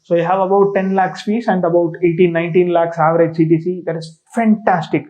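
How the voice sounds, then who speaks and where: A man with an Indian accent and a noticeably high-pitched voice explains things like a coach talking to students. He is emphatic and repeats his points, often checking in as he goes. The recording sounds a little dull and lo-fi. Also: fairly even intonation, brisk. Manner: emphatic, repetitive, frequently checks with "right?". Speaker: exam coach and mentor. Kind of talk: informal explanatory lecture to students.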